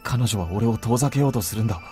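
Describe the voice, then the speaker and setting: Deep, rapid-fire brooding young man played by an actor. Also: dark tone, faint music behind, lightly acted. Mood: troubled, bitter. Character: brooding young man, troubled and self-doubting. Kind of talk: Japanese visual novel voice acting.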